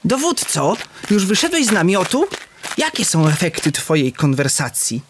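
A man voicing an indignant young male warrior officer of noble birth, quick and high-strung. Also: huge pitch swings, slight background noise, full character voice.

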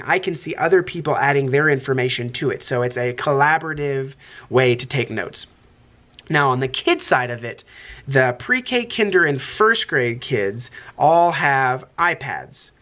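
A man's voice: fairly high pitch, expressive, pushed and bright. A man in his thirties, a kindergarten teacher, talking casually and upbeat to a camera held at arm's length in his classroom. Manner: upbeat, explains things quickly. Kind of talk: casual vlog talk to camera.

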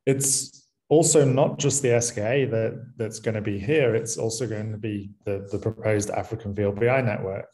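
A man with a Manchester-area English accent gives a conference talk from a small room over a webcam. His voice sits low and sounds mellow, and his delivery is relaxed, full of ums and small restarts. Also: normal speed, poor audio. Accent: Mancunian English. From England, near Manchester. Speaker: university lecturer.

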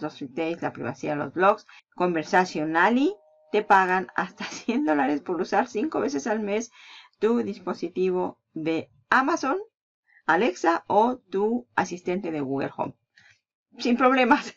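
A woman with a Peninsular Spanish accent hosting a casual screen-recording walkthrough, chatty and upbeat, laughing between points. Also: fairly low pitch. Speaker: affiliate marketing channel host. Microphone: voice-over on a screen recording. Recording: slightly dull lo-fi voice-over.

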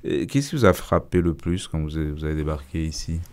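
A man's voice: extremely low pitch, lively intonation, quick pace, darkish tone, a little hiss or hum. A middle-aged man hosting a radio studio interview, probing his guest with follow-up questions. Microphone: table mic with headphones.

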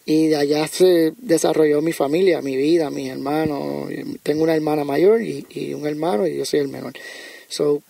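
A man tells stories from his life at an easy, conversational pace. His voice sits high for a man, with a gravelly, fried edge and a mellow, dark tone. He talks in a dry room and often circles back over his own words. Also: steady tone, normal speed, no echo. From United States (Connecticut). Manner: storytelling, repeats words as he thinks. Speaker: guest, music producer. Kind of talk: casual interview chat.

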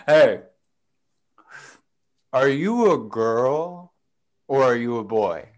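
A man's voice: mid-range voice, big pitch swings, very slow. A man playing a rowdy, drunk young college guy who mocks and jeers, in a table read over a video call. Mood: menacing mockery. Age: young adult. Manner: loud, mocking, jeering. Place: indoor room, video call. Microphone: video call, computer mic.

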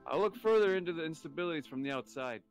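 A man's voice: on the high side, animated, quick pace, faint music behind, natural voice. A man playing an easygoing, confident creator of a digital world in an amateur sci-fi audio drama. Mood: reassuring.

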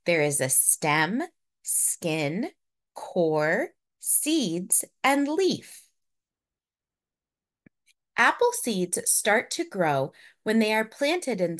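A woman narrating a children's picture book in a deliberate, expressive storytelling manner for young listeners. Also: mid-range voice.

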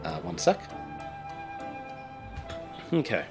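Man, casual game commentary, lively up-and-down voice, self-deprecating, faint music under. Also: fairly high pitch, drawn-out words, creaky. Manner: chatty, self-deprecating, reads game dialogue aloud. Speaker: let's play host.

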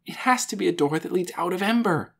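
A woman voicing an excitable, insistent and earnest young girl in a children's audiobook, eager and emphatic. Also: on the low side, creaky, husky, voice pushed up, exaggerated voice. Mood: excited, relieved. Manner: eager, emphatic, words rushing out. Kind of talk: children's audiobook character dialogue.

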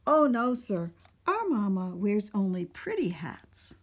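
A woman's voice: mid-range voice, big pitch swings, takes it slowly. A woman voicing lost young children who earnestly insist on how wonderful their mother is.

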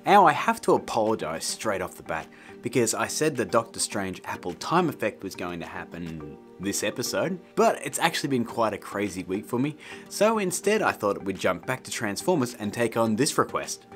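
A man in his thirties hosting a video tutorial in a casual, jokey style. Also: mid-range voice. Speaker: host of a video tutorial show. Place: small indoor studio room. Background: faint music.

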